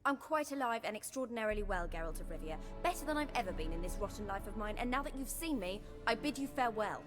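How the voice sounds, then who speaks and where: An actress playing a defiant, bitter young baron's daughter in a video game, proud and curt. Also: female voice, medium pitch, lively intonation, fast talker, natural voice. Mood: cold, defiant. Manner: curt, proud, formal wording. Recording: music behind the voice.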